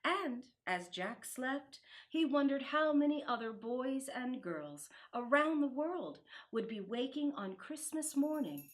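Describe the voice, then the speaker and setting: Slow, animated woman reading a children's story. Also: sits high.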